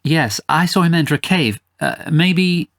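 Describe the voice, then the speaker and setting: A man voicing a timid, hesitant boy with halting speech, in a fantasy storybook reading. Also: fairly high pitch, creaky, a bit bright, pitched above the performer's own voice.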